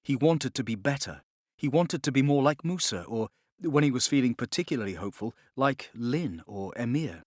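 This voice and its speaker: A man reading a novel as scripted dramatic narration, in a measured, expressive storytelling manner. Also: mid-range voice, no echo, clean studio sound. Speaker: audiobook narrator.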